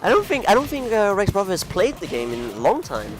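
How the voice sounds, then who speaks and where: A man's voice: sits high, wildly swooping pitch, fast talker. A man casually commentating a fighting game tournament, chatty and rambling, who laughs at his own clumsy phrasing; English is not his first language. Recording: music playing loudly behind.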